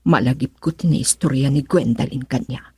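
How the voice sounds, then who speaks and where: A woman's voice: extremely low pitch, normal speed, warm tone, slightly rough, no echo, full character voice. An actress playing a worried, suspicious woman brooding aloud in an Ilocano radio drama monologue. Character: worried suspicious woman brooding over her absent partner.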